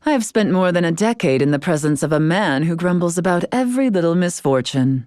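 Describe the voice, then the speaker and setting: A woman acting as a wise, composed fantasy game heroine with a low voice. She speaks in formal, archaic, carefully measured phrases and carries a dry wit. The sound is close and dry, with no room on it. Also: darkish tone, dry recording, close to an everyday voice. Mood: measured, thoughtful. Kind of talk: scripted video game character acting.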